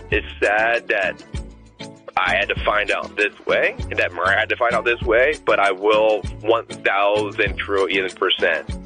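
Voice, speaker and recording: Young man, deep bright forceful voice. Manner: earnest, emphatic. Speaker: radio show caller. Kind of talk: personal phone interview. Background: music.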